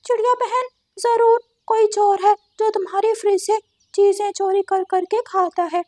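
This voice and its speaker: A woman voicing a fretful female parrot neighbour in a cartoon, speaking in an animated, pleading manner. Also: very high voice, moderate pace, no room sound, pitched above the performer's own voice. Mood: concerned.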